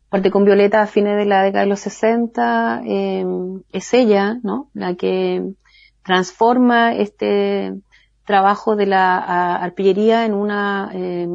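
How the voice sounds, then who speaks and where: A woman with a Chilean accent, a guest speaking slowly and thoughtfully with many pauses and fillers. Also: mid-range voice, creaky, a little mellow, no echo, muffled recording. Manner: slow, thoughtful, many hesitations. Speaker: guest from a community cultural center. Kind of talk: remote interview talk.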